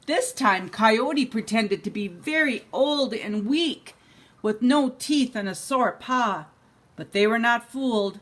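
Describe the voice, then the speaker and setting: An older woman, a grandmother, reading a bedtime storybook aloud to a child in an expressive, unhurried way. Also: a little high.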